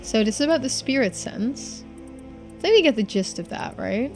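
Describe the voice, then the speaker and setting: A woman's voice: sits high, expressive, normal speed, a little mellow, faint music behind. A woman game streamer reading game text aloud, with bits of casual commentary. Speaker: streamer playing and voicing a game.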